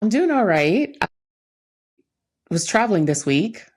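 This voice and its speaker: A woman's voice: medium pitch, big pitch swings, a little slow, breathy edge. A woman co-hosting a podcast, talking in a casual, conversational way with informal asides. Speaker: podcast co-host, coach.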